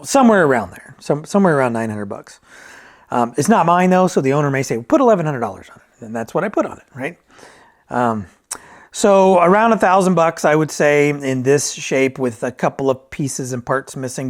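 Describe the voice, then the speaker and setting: A man giving a casual, unscripted product review as a vintage audio reviewer, candid and self-deprecating, thinking aloud in colloquial phrasing. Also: fairly high pitch.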